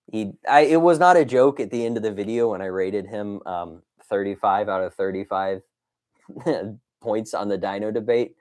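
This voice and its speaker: Deep-voiced, animated man livestreaming. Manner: playful, animated, with exclamations and wandering asides. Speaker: livestream host of a toy dinosaur collecting channel. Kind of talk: casual livestream chat, reading comments and letters aloud.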